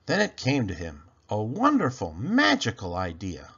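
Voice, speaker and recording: A man with a gravelly, husky voice narrating a children's story in an expressive read-aloud style. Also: lowish voice, normal speed, lo-fi sound. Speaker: storybook reader.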